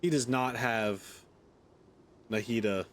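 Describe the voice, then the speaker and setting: A man's voice: mid-range voice, takes it slowly, slight background noise. A man hosting a gaming video, giving casual, wry commentary as he plays. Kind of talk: casual game commentary, reading dialogue along.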